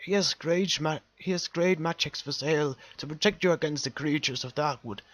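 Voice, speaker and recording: Man acting out a strained, delirious old dwarf warrior, higher-pitched. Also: a bit bright, no echo, natural voice. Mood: urgent pleading. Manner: halting, imploring, repeating himself. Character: dying, delirious old male dwarf warrior, pleading urgently.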